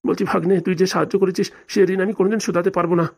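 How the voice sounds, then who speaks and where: A woman's voice: on the low side, fairly even intonation, rapid-fire, creaky, a little mellow, husky. A woman playing an elderly, sorrowful uncle in a comic cartoon, with a pleading, apologetic manner. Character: elderly, sorrowful, apologetic uncle begging his nephew's forgiveness.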